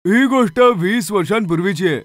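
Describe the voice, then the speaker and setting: Man playing a humble, high-voiced mango seller. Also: normal speed, no echo, natural voice. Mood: grave. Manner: pleading, deferential. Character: poor mango seller, humble and grimly mysterious.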